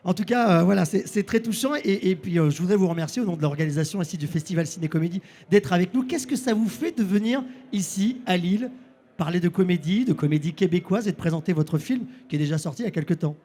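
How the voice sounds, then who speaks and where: A man from the interviewer's chair speaks French with an accent from France. His voice is high for a man and soft-edged, and he talks quickly, rephrasing as he goes in a casual style.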